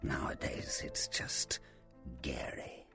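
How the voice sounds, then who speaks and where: A man's voice: takes it slowly, music in the background, close to an everyday voice. A man playing a sly, taunting Nosferatu vampire and faded film star in a video game, theatrical and teasing, often dropping into a menacing whisper. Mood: hammy, wry. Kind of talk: video game character acting.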